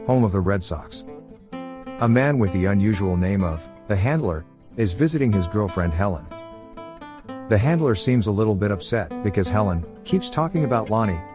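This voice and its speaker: A man narrating an audio play, setting the scene in scripted lines with guitar behind him. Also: on the low side. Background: plucked guitar music.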